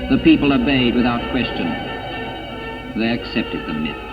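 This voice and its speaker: A man narrating a scripted 1940s propaganda film in a grave, emphatic manner with dramatic pauses, on an old film soundtrack. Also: mid-range voice, big pitch swings, muffled recording. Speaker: documentary narrator. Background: music.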